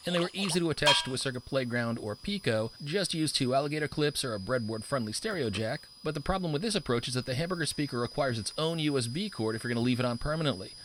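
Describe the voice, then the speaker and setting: A man's voice: medium pitch, fairly bright tone. A man narrating a scripted tutorial as an upbeat, enthusiastic instructor. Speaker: professor, instructor.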